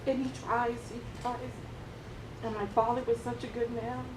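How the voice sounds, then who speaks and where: A woman tells of her family on a post-screening panel, earnest and exclamatory. Her voice sits a touch high. The hall is echoey and a low rumble and steady noise run underneath. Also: normal speed. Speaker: relative of a veteran speaking on a panel. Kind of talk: emotional personal sharing. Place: large room at a film screening.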